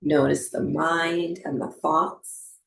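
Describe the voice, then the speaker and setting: A woman leads a guided meditation in a low, soothing voice. She speaks very slowly and gently, leaving long pauses between short instructions. Her voice carries a clear roomy echo. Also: fairly even intonation, a little hiss or hum. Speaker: yoga nidra and breathwork teacher. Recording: roomy, echoing voice recording.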